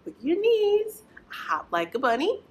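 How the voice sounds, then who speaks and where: Animated young woman hosting preschool storytime. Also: extremely high pitch. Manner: singsong, encouraging, deliberate, addressing small children. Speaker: children's librarian and storytime host. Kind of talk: preschool storytime presentation with action rhymes. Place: small indoor children's library corner.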